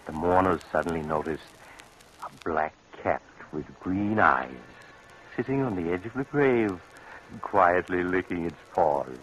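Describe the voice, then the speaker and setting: A grave, sinister gentleman in a 1940s radio mystery. His low, forceful voice is measured and authoritative, over faint noise. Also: heavily acted. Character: grave, sinister, composed gentleman storyteller and doctor. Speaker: storyteller host and actor. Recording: faintly noisy old radio recording.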